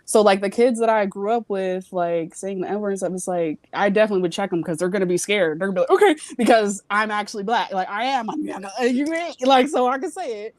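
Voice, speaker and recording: A young woman calls in from home over a video call and rambles frankly about reality TV, with lots of fillers and the odd curse. Her voice is mid-pitched and sits dry and close, with little room sound around it. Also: fast, hardly any pauses, no room sound. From Virginia, US, Jamaican family. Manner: rambling, frank, swears freely, many fillers. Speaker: call-in guest. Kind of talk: casual chat.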